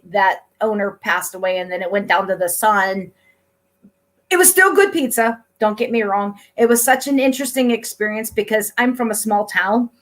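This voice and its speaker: A middle-aged woman from Pennsylvania, hosting a casual chat interview in a chatty, upbeat way with frequent laughter. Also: medium pitch. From Pennsylvania, USA. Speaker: host.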